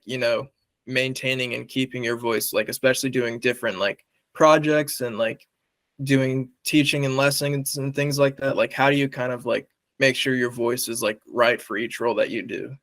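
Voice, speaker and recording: A man's voice: mid-range voice, fairly bright tone, no room sound. Casual young man, very dry sound. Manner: informal, loosely phrased, frequent "like". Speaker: interviewer and comic writer. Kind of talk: casual conversational interviewing.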